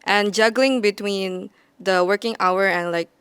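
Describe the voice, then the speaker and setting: Young female TA, crystal-clear voice, plain calm answers. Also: medium pitch, a little slow, no room sound. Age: young adult. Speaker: senior teaching assistant. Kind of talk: interview answer.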